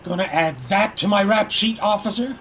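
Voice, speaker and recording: A man's voice: high voice, normal speed, fairly bright tone. A man playing a plucky, wisecracking hero on the run in an audio drama, cheeky and insistent. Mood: pleading. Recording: noisy home-device recording.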